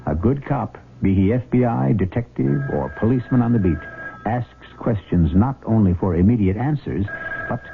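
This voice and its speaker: A man hosting and narrating a 1970s radio mystery in a deliberate, suspenseful, theatrical style. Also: fairly low pitch, expressive, creaky, background noise. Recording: muffled vintage radio broadcast. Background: music.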